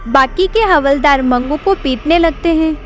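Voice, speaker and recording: A woman's voice: high-pitched, unhurried. A woman narrating a scripted horror story. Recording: background music.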